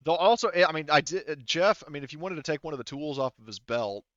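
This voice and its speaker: A man's voice: fairly high pitch, expressive, fast, fairly bright tone, no echo, muffled recording. A man refereeing a tabletop roleplaying game in a casual, informal way as game master.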